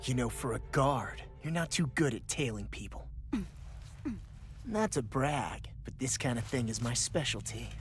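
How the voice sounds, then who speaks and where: An actor voicing a brash, cocky young male fighter in a video game, with a casual, excitable, boastful way of talking. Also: medium pitch, a little slow, close to an everyday voice. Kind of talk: dramatic video game voice acting. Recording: game music under the dialogue.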